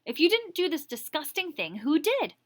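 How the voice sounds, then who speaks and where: A woman reading a storybook and voicing an angry female schoolteacher who snaps sharp, commanding accusations. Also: sits high, big pitch swings, moderate pace, pitched above the performer's own voice. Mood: stern, accusing. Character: stern, angry female schoolteacher, snapping and demanding.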